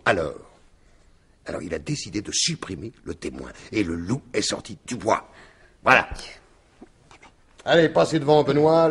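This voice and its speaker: A police commissioner from a 1950s radio play. The man's husky voice swings widely in pitch as he speaks with sardonic, theatrical deliberation, like a shrewd detective closing a case. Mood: brisk, ironic. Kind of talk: 1950s French radio drama acting.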